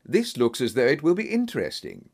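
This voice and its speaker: A man voicing a confident, observant gentleman detective in a storybook, with an assured, brisk and dry manner. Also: medium pitch, wildly swooping pitch, creaky, heavily acted. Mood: insistent, eager.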